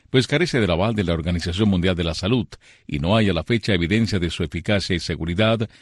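A man reading a radio news bulletin as anchor, in a measured, formal delivery. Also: fairly low pitch, no echo, studio quality.

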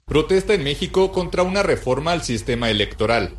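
A man's voice: a little high, lively intonation, fairly quick, a little hiss or hum. A man reading a news report as correspondent in a formal scripted manner.

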